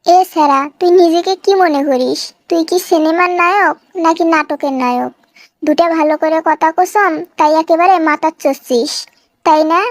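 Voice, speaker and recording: A woman's voice: very high voice, normal speed, no room sound, studio quality. A woman voicing a haughty, bullying young daughter of a village chairman in a comic cartoon, with a northern Bangladeshi accent and a domineering manner. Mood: scornful, threatening. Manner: scolding, domineering, threatening.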